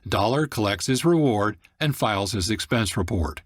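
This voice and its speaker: A man hosting a radio program, reading scripted narration in a measured, plain announcer's manner. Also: lowish voice, expressive. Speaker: radio producer and host. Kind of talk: scripted narration, story recap.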